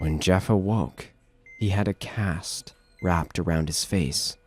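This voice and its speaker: A man narrating a scripted horror story in a measured, dramatic storytelling manner. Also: sits low. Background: music.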